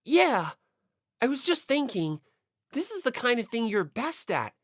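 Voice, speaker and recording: A woman's voice: on the high side, very animated, unhurried, dry recording, studio quality, voice pushed up. A woman voicing an earnest, friendly, timid teenage schoolboy in an audiobook, eager and a little nervous. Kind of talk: audiobook character voice.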